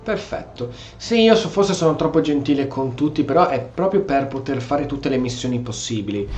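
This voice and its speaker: A man with an Italian accent giving casual role-play gameplay commentary, halting and correcting himself as he goes. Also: mid-range voice, animated, darkish tone. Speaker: gameplay streamer. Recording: dull, roomy mic over game music. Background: game music.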